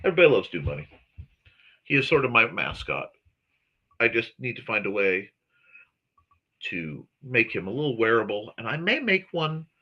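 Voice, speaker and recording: A man hosts a laid-back livestream, chatting with viewers as though they were in the room. His very bright, sharp voice swings up and down lively as he rambles along and keeps correcting himself mid-sentence. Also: fairly low pitch, husky, muffled recording. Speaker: host of a morning talk stream. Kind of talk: casual livestream chat, answering viewers.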